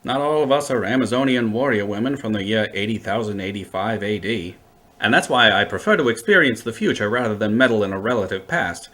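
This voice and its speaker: A man's voice: fairly low pitch, brisk, fairly bright tone, natural voice. A man playing a wry, unflappable time-travelling adventurer with a dry, wordy, flippant way of talking, in an audio drama.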